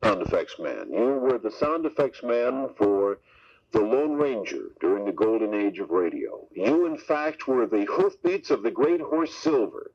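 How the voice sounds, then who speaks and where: A man's voice: mid-range voice, big pitch swings, unhurried. A man hosting and interviewing on radio in a scripted presenting style. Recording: muffled off-air radio tape.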